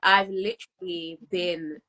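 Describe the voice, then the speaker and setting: A woman's voice: on the low side, steady tone, measured pace, sharp tone. A British woman in her twenties chatting casually as a podcast co-host, emphatic and slangy, dropping in Spanish phrases. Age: 20s. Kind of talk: casual chat.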